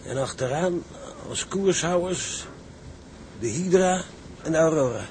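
Worried, sober tug officer, slightly high male voice, radio play over clear ship noise. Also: expressive, measured pace, a little mellow. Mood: resigned. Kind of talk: radio drama acting.

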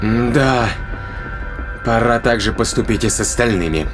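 A man's voice: medium pitch, unhurried, natural voice. Audio drama male actor: determined, defiant spacefarer, mid-range voice, prominent score underneath. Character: resolute, defiant man aboard a spaceship. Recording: loud music behind the voice.

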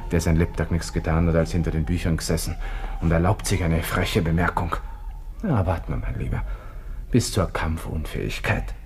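An actor playing an arrogant, thin-skinned young army lieutenant with an Austrian accent, muttering indignantly in a radio drama monologue. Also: male voice, low voice, darkish tone, natural voice. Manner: haughty, indignant, muttering to himself. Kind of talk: 1960s German radio drama interior monologue.

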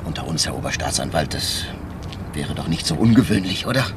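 A man's voice: rumbling low, animated, fairly quick, very noisy. A male actor playing a shrewd, persistent police inspector in a radio crime drama, insinuating and mock-deferential as he argues step by step. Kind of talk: naturalistic German radio crime drama acting.

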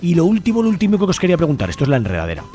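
Fast-talking Spanish radio host, male. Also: on the high side, huge pitch swings, dark tone, soft background music. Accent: Spain. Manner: chatty, colloquial. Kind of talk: informal radio interview and show sign-off.

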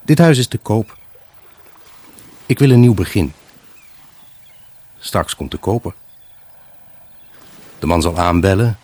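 An actor playing a wistful, evasive husband left alone, in a halting, pensive radio drama monologue. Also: male voice, low-pitched, lively intonation, darkish tone, dry recording, heavily acted. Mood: wistful, resolute. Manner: halting, pensive, addressing an unseen buyer. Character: wistful, evasive abandoned husband selling his house.

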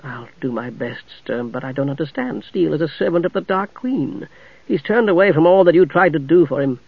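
A man voicing an exhausted half-elven commander who speaks quietly and wearily. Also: a little high, animated, a little fast, creaky, husky, full character voice. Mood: troubled. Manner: quiet, tired. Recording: dull, dry recording.

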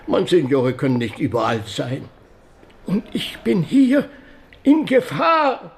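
High-pitched, fearful priest. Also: male voice, huge pitch swings, a little hiss or hum, full character voice. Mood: anxious, halting. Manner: halting, plaintive, defensive. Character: fearful, self-pitying village priest in 17th-century Lombardy. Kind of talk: German radio drama acting.

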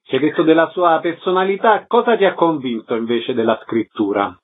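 A man's voice: on the high side, expressive, fairly quick. A man working as a radio interviewer, putting short questions and announcements in an arts programme. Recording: slightly dull lo-fi radio sound.

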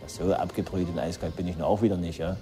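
Deep, mellow man telling an anecdote. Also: fairly even intonation, quick pace, faint music behind. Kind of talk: casual spoken anecdote.

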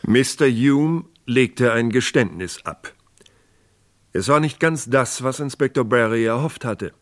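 A man narrating a scripted German radio crime drama in a measured, factual manner. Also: mid-range voice.

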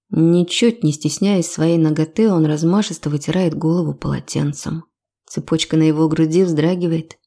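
Low-voiced, creaky woman narrating fiction. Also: mellow. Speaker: audiobook narrator. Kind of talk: scripted first-person fiction narration.

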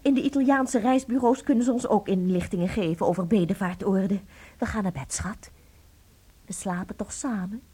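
An actress playing an anxious, devoted wife in a radio play, tender and theatrical, with a breathy delivery. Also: female voice, mid-range voice, normal speed, lightly acted. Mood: distraught, then tender, hushed. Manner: theatrical, breathy, swinging from hushed to agitated.

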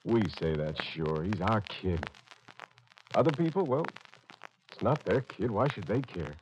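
An actor playing a weary, defeated father in an old radio drama, halting and bitter. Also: lowish voice, animated. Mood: weary resignation. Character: weary, defeated working father of a dying boy. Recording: noisy old radio broadcast.

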